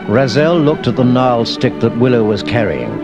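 A man's voice: medium pitch, lively intonation, moderate pace. A man reading scripted storybook narration, with film score music and sound effects behind him. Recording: loud orchestral music under the voice.

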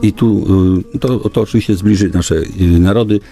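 A middle-aged man giving formal, halting answers as a local official guest in a small radio studio, with many hesitations. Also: fairly low pitch, warm tone, soft background music. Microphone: studio boom microphone.

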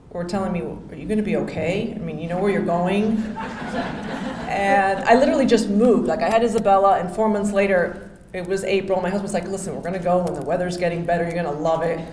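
A woman in her forties from Miami giving a hall of fame acceptance speech at a podium microphone, chatty and full of thank-yous and restarts. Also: mid-range voice. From Miami, Florida. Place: hotel ballroom, on stage at a podium. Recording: echoey ballroom with faint hum.

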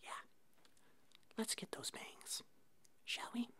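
A woman voicing an eccentric, bumbling puppet monster hairdresser in a halting, breathy whisper for an ASMR roleplay. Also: a bit bright. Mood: calm, quirky. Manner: halting, hesitant, slow.

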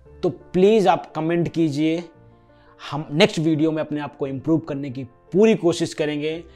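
A man's voice: fairly high pitch, fairly even intonation, normal speed, darkish tone. An Indian man speaking straight to viewers in an earnest promotional explainer, repeating his points and checking in with short tag questions. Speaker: app founder and video host. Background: background music.

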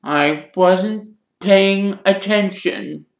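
A reader voicing a self-pitying, melodramatic ghost girl with tearful, drawn-out wailing. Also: medium pitch, lively intonation, a bit bright, voice pushed up. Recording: roomy echo.

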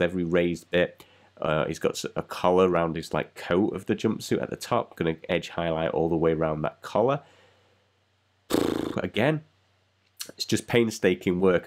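Deep-voiced male tutorial host, congested from a cold, rambling and easygoing. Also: darkish tone. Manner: rambling, self-deprecating, chatty. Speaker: miniature painting channel host. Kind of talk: casual painting tutorial voice-over.

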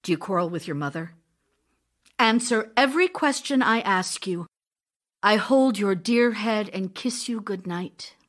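An actress playing a passionate, impatient, loving stage actress in an audio drama of love letters, speaking in an ardent, demanding, theatrical way. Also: female voice, medium pitch, expressive, unhurried. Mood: longing.

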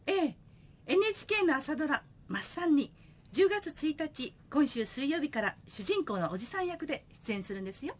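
A woman's voice: high voice, sing-song, normal speed. A woman playing a polite, reserved daughter in a comic radio drama. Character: polite young daughter, repeatedly declining invitations.

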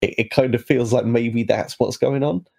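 Creaky-voiced man in casual chat. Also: medium pitch, normal speed, a little mellow. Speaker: podcast guest and player.

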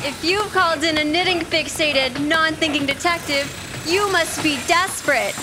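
A woman playing a brisk, scornful talking dog in a staged comedy audio drama. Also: high-pitched, noisy background, lightly acted. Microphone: stand microphone.

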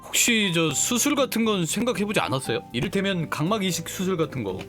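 A man with a fairly high voice and very animated melody hosts a game stream. He chats casually and reads along playfully, with music behind him. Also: fast. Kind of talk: casual live game commentary and read-aloud.

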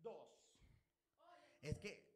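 A man with a Mexican accent hosting a game show in a small studio, joking and teasing the guests. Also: extremely slow. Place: small studio set, indoors. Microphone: handheld mic.

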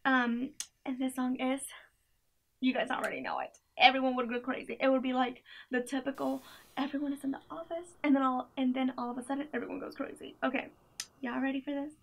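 A woman's voice: high-pitched, gravelly. A young woman in a small indoor room, chatting casually about songs in a playlist, full of fillers and giggly asides. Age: young adult. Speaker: playlist reviewer. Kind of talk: casual chat.

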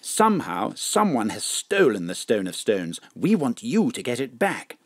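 Animated, furtive civil servant. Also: male voice, medium pitch, measured pace, no echo. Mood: confiding. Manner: conspiratorial, animated. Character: furtive, fussy top civil servant and scruffy secret handler. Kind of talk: comic audio drama dialogue.

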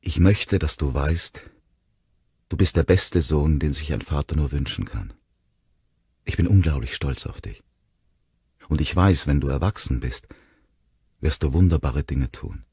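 A grieving, gentle father in an audiobook, played by a man. His voice is extremely low and husky, murmured tenderly, recorded close and dry. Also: animated, normal speed, dry recording, exaggerated voice. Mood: gentle, choked. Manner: tender, murmuring, choked with emotion. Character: loving, sorrowful father comforting his young son.

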